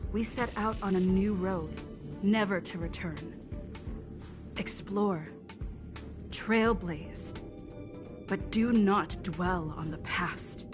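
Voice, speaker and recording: A woman's voice: mid-range voice, slow pace, pauses often, close to an everyday voice. A woman voicing a stern, solemn, admonishing mentor in a dramatic video game scene. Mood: solemn reproach. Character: stern, imposing woman mentor, coldly reproachful. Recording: game music behind voice.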